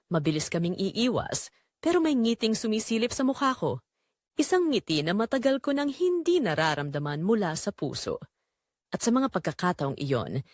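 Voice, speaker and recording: A woman playing a lonely wealthy wife who confesses forbidden longing in a measured, dramatic first-person story narration. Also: mid-range voice, dry recording, clean studio sound. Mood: yearning, secretive.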